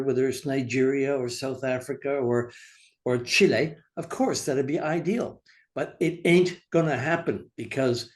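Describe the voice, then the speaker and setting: A man speaking at a mid pitch on a panel, making a case in emphatic, plain-spoken terms. His delivery is firm and direct. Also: a little mellow. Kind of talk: panel discussion remarks. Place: law school event room.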